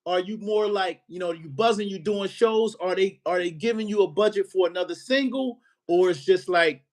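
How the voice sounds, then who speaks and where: Chatty podcast host guy, kinda high voice, laughs a lot and keeps asking follow-ups. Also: normal speed. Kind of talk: casual interview.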